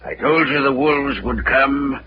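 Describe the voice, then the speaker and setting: An actor playing a sinister, grandiose maestro with formal, theatrical phrasing in a vintage radio drama. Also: male voice, medium pitch, unhurried, close to an everyday voice. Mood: smugly ominous. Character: sinister, grandiose male maestro, mad experimenter, coldly courteous. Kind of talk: 1940s radio acting. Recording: muffled, noisy old radio recording.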